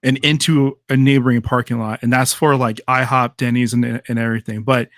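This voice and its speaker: Casting note: male podcast host, easygoing and chatty, mid pitch, dry close mic. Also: level tone, fairly quick, fairly bright tone, no room sound. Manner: loose and chatty, laughs easily, many fillers. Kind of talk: casual live podcast chat.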